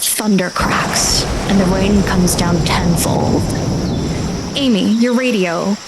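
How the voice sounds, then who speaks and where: A woman's voice: medium pitch, slow. Female game master over noisy nature ambience. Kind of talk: improvised dramatic narration of a tabletop game. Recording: clear ambient noise with insect-like sounds.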